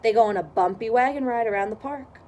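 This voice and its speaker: A woman reading a children's storybook aloud in expressive narration. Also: fairly high pitch, fast talker. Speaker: storybook reader.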